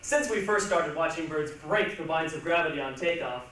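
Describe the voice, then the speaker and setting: A woman's voice: low-pitched, animated, normal speed, a bit bright. A woman performing dramatic, emphatic narration in a readers' theatre piece. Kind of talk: dramatic recited stage narration. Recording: very echoey hall, distant mic, faint noise.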